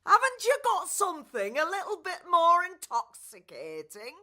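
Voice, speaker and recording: Boozy northern english fairy godmother, cheery n rambling, swoopy bright voice. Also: very high voice, wildly swooping pitch, normal speed, pitched above the performer's own voice, heavily acted. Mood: nosy, cheeky. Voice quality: slurred, hiccuping delivery. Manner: rambling, folksy, familiar. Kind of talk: children's musical radio play.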